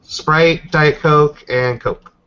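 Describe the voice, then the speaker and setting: A man's voice: on the high side, fairly even intonation, extremely slow, fairly bright tone, clean tone. A man talking casually and offhandedly, as if among friends. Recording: dull, lo-fi voice chat audio.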